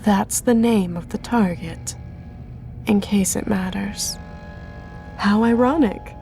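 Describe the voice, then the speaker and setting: A woman playing the Reaper, a calm and cunning leader of soul-takers, speaking in a measured, coolly authoritative way in an audio drama. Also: medium pitch. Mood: dry, cunning. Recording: music behind the voice.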